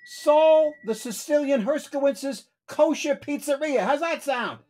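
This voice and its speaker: A man's voice: very high-pitched, unhurried, a little mellow, soft background music. A man playing a chatty, fussy pizzeria manager who nags his boss in a comedic radio play. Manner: chatty, needling, persistent.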